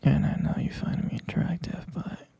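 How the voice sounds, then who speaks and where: A man playing a mature stepfather in an ASMR roleplay, speaking in a breathy, husky half-whisper with a hesitant, confiding and concerned manner. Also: normal speed, dark tone, no echo, lightly acted. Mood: tender, conflicted.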